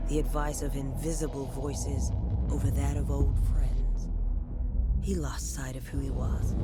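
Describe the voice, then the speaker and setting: A hardened, bitter woman in charge of operations in a video game. She speaks slowly and deliberately, in grim, clipped phrases. Loud music runs behind her voice. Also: very deep voice. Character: hardened, bitter woman, security chief at the end. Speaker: head of operations. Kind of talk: scripted dramatic game narration.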